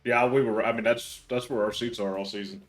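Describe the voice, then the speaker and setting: A young man chatting casually on a game stream, hoarse and congested from screaming. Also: mid-range voice, steady tone, brisk. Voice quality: croaky, congested. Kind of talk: casual chat while gaming.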